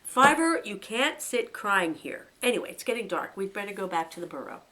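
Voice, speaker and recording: A woman's voice: medium pitch, sing-song, fairly quick, bright, forceful tone, slight background noise, low-quality recording. A woman voicing a protective young male rabbit who speaks firmly and reassuringly. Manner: firm and reassuring.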